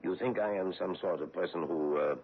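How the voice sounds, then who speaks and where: Gravelly, very deep-voiced menacing European investigator. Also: male voice. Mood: sly, probing. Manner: formal, deliberate, insinuating. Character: menacing foreign government investigator hunting a woman from his homeland. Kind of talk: radio mystery drama acting. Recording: muffled narrow-band old radio broadcast.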